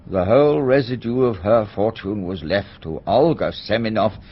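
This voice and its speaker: An actor playing a reserved middle-aged British solicitor in a radio drama, speaking formally, precisely and guardedly. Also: male voice, on the low side, normal speed, slightly rough. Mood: dry, explanatory. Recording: slightly muffled radio drama recording.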